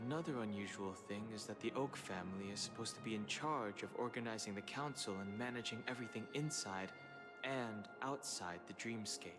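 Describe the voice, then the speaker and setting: Actor as serious, cautious adventurer over music. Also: male voice, fairly low pitch, natural voice. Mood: concerned. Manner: measured, formal, earnest. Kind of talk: video game voice acting. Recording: game music under voice.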